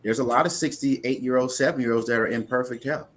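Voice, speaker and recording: A man's voice: mid-range voice, fairly even intonation, quick pace, a bit bright. Male interview guest, mid-range voice, earnest and explanatory, restarts phrases. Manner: earnest, explanatory, repeats words when restarting. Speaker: insurance investment guest.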